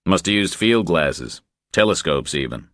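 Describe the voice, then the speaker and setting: A terse, tough male investigator , played by a man speaks in an extremely low voice. His delivery is clipped, observant and matter-of-fact, as audiobook dialogue in a dry recording. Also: lively intonation, unhurried, a bit bright, no room sound. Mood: alert, analytical.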